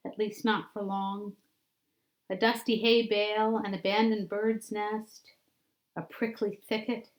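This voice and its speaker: A woman reading a children's story aloud like a teacher, with deliberate, dramatic phrasing that drops into hushed tones. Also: mid-range voice, poor audio.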